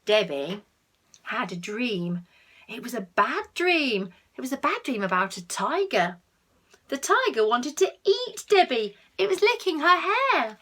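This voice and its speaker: A woman reading a picture book aloud to children, expressive, with asides to the listeners and hushed tones for effect. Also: on the high side, a little slow. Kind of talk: animated read-aloud with asides to young listeners.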